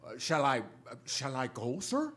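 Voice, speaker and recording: A man performs a flustered butler in a radio play. He is servile and hesitant, stumbling over words in a husky voice that jumps around in pitch. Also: wildly swooping pitch, moderate pace, a bit bright, exaggerated voice. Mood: nervous, deferential. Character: flustered, deferential, stammering male butler. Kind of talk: radio drama acting.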